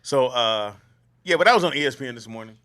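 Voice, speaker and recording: A man co-hosting a comedy talk show, brash and joking, swearing freely and bursting into shouts. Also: mid-range voice, lively intonation, fast talker, a crowd in the background. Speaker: comedian co-host. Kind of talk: loud joking banter.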